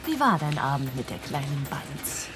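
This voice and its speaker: An actress playing a worldly, mocking newspaper colleague in a radio drama, teasing with drawn-out irony. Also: female voice, deep voice, big pitch swings. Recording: music behind dialogue.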